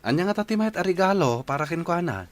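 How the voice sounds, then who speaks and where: A man's voice: high-pitched, lively intonation, fast, slight background noise. A man playing a devoted, slightly shy young boyfriend in a radio drama, speaking politely and hesitantly with an Ilocano accent. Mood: friendly, planning.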